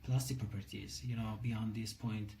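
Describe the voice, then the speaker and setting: A man with a Persian accent giving a halting technical lecture in his second language, pausing often on fillers. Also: on the low side, fairly even intonation, vocal fry, dark tone, a little hiss or hum. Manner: halting, many filler pauses. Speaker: engineering lecturer. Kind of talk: bilingual whiteboard lecture.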